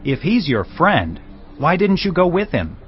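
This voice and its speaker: An actor playing a haughty, suspicious usurper prince in a dramatized audio Bible. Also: male voice, a little high, wildly swooping pitch, a little slow, slight background noise. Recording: dull audio over music bed.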